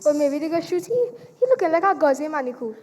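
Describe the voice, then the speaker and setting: Female performer as very high-voiced boastful boy. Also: fast, a little mellow, natural voice. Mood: fretful, teasing. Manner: bragging, theatrical. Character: vain, boastful young village boy. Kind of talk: live stage play. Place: theatre stage in a large venue.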